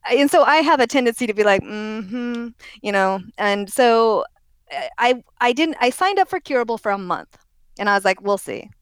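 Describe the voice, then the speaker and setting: Young-sounding woman, smooth dry voice. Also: fairly high pitch, very animated, moderate pace, no room sound. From Oregon, USA. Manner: rambling, self-correcting, laughs often. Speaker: interview guest. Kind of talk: casual interview conversation.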